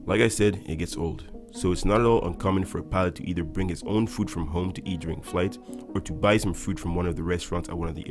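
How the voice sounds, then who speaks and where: Deep-voiced young man over loud music. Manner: upbeat, explanatory, addresses viewers directly. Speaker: airline pilot and video host. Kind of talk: scripted voice-over narration.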